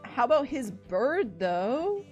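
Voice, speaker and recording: A woman streaming as she draws, chatting casually in an animated, gushing way and swearing freely. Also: fairly high pitch, takes it slowly, lo-fi sound, soft background music. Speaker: streamer and artist drawing live. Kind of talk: casual livestream chat.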